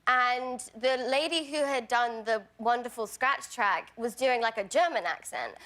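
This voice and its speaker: A woman's voice: fairly high pitch, lively intonation, a little slow, a bit bright. A young woman from England with a Bristol accent, chatting as a guest on a talk show, giggly and full of fillers. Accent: English, Bristol. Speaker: guest actress.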